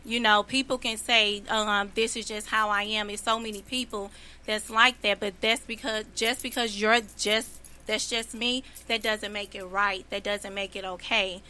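A woman's voice: medium pitch, steady tone, moderate pace, sharp tone. A woman, earnestly pressing her point as a panelist. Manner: earnest, persuasive, pushes back. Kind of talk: round-table discussion.

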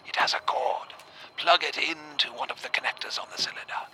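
A man playing a secretive, courteous gentleman in a radio drama, giving instructions in a breathy half-whisper. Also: a little high, steady tone, brisk. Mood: calm, controlling.

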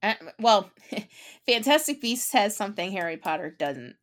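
An enthusiastic woman co-hosting a casual podcast chat. She gushes eagerly and often restarts mid-sentence. Her voice sits at an ordinary middle pitch. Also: sing-song. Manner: enthusiastic, gushing, quick restarts. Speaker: podcast co-host.

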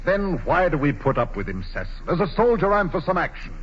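Blunt cynical courtier soldier, highly animated delivery, vintage radio drama, muffled noisy recording. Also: male voice, a little fast. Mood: impatient, menacing. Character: hot-blooded, cynical Elizabethan soldier-courtier. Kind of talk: 1940s radio drama acting.

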